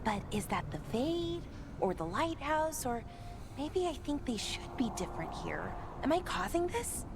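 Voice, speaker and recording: An actress playing a gentle, curious young woman in a video game, musing and wondering aloud. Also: high voice, sing-song, unhurried, creaky, background noise, full character voice. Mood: pensive, curious.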